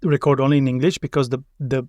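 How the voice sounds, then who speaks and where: A Finnish man speaks English carefully, with a clear Finnish accent and a mid-range voice. He answers as a podcast guest over a video call, and the sound is dry. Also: medium pitch, flat delivery, fast talker, a little mellow, no room sound. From Finland. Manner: careful non-native English with restarts. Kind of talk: casual interview chat.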